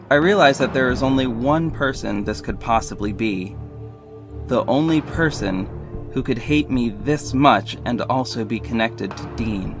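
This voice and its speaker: A man plays a sassy, defiant audio drama hero with a medium-pitched voice. He tells his own story in a dramatic, pointed way. Soft music runs underneath. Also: natural voice. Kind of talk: first-person audio drama narration and dialogue.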